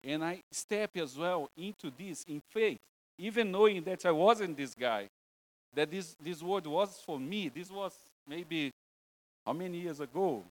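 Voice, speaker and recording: A man's voice: on the high side, animated, measured pace, low-quality recording. A man from Brazil, preaching an informal sermon in English with a Brazilian Portuguese accent, broken phrasing and a joking, self-deprecating manner. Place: church.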